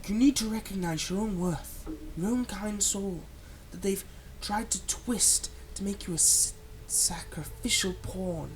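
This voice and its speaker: A man playing a manipulative, soothing male villain in an ASMR roleplay, speaking in a soft, coaxing and dramatic way with deliberate pauses. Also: high-pitched, slight background noise, poor audio. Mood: impassioned, pained. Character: manipulative, soothing male supervillain, tenderly possessive of his captive.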